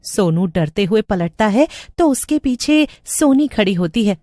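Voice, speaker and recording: A woman's voice: mid-range voice, animated, a little slow, warm tone, no echo, studio quality. A woman narrating a scripted horror story as the storyteller.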